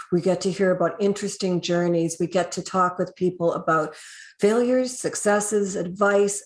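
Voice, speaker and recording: Low-voiced woman hosting, echoey room. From Canada. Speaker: podcast host, HR consultant. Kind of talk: podcast interview.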